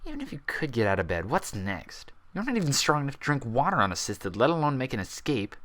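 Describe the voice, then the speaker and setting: A man's voice: mid-range voice, sing-song, quick pace, a bit bright, voice pushed up. A man voicing an anxious young female pony librarian, proud and bookish, in a fan fiction story reading. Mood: bitter self-reproach. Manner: hesitant, formal politeness or muttered self-reproach.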